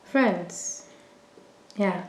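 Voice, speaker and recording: Woman acting as lonely wounded young woman, audio drama, slow halting speech, roomy sound, loud music underneath. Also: lowish voice, slight background noise. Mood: nervous, resolved.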